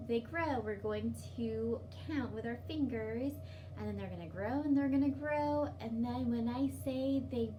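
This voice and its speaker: A woman hosting a children's library story time, speaking in a simple, encouraging, sing-song way to young children. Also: fairly high pitch. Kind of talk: children's story time with rhymes, signing and read-aloud. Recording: distant mic, noticeable background noise.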